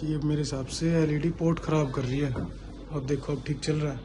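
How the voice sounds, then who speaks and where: Guy w/ ish doing a casual work vlog, kinda high voice, noisy location sound. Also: steady tone, moderate pace. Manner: informal, chatty, explaining as he works. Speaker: vlogger and CCTV installer. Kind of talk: casual vlog talk addressed to viewers.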